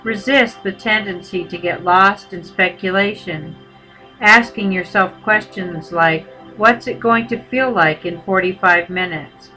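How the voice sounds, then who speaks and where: A woman guides a meditation in a bright, clearly forward voice at a middling pitch. She gives measured, unhurried instructions as a teacher would, leaving pauses between phrases. Music plays behind her. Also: poor audio.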